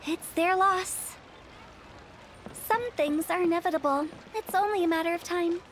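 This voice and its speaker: Very high-pitched, cheeky funeral director girl. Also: moderate pace, a bit bright, a little hiss or hum. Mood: confident, resolute. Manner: theatrical, cheeky sales patter. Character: playful, eccentric young woman funeral parlor director, pushy saleswoman. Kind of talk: English video game voice acting.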